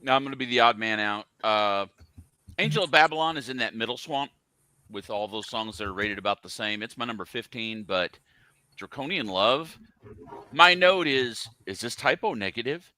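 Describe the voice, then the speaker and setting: A man's voice: mid-range voice, normal speed, bright, forceful tone, dry recording. A man co-hosting a casual podcast, speaking bluntly with a sardonic edge. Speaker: podcast co-host.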